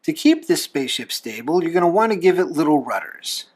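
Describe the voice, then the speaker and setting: A man hosting a drawing tutorial, explaining each step in a folksy, unhurried way with pauses. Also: fairly high pitch, wildly swooping pitch, slightly rough. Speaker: host of a drawing tutorial show, reverend. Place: talking from behind a camera while drawing.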